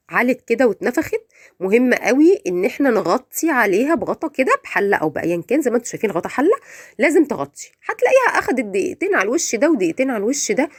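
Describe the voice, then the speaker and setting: A woman with an Egyptian Arabic accent giving a chatty, effusive cooking voice-over, her voice slightly off from a cold. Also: a little high. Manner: effusive, repeats words for emphasis, addresses viewers directly. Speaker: home cook hosting a recipe channel.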